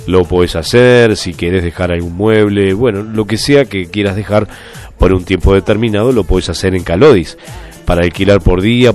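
A Uruguayan man co-hosting a local radio show, reading a sponsor spot in a relaxed way. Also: deep voice. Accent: Uruguayan Spanish. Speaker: radio co-host. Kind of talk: casual radio chat and sponsor reads.

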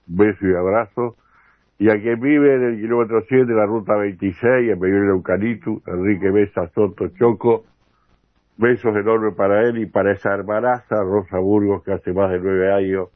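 An older man with a Uruguayan accent hosting a radio interview programme, with a halting delivery that often repeats words. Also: on the low side. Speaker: radio host. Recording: tinny phone-like radio sound, roomy echo.

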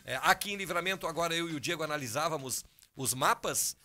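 A man hosts a morning radio show, his voice hoarse today. His tone is bright and slightly high. He chats easily and loosely, with faint music underneath. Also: fast talker. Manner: chatty, easygoing, talks over cohost. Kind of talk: casual morning show chat. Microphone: studio microphone.

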